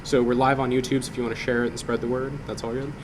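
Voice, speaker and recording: A man's voice: medium pitch, rapid-fire. A man speaking casually as the host of a meetup, introducing things informally. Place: indoor meeting room. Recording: noisy live room, steady hum behind.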